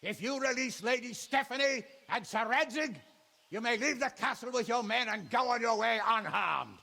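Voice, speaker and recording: Casting: commanding knight for cinematic game, gravelly and forceful, formal, declamatory projection. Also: male voice, extremely high pitch, lively intonation, normal speed, big-room sound, exaggerated voice. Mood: firm, formal. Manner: declamatory, shouting across a distance, formal. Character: commanding male noble knight negotiating a siege. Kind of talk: cinematic video game acting.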